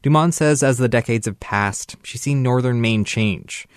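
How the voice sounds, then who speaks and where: A man reading a scripted radio news report in a measured, even delivery. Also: mid-range voice, clear, resonant tone, no room sound, studio quality.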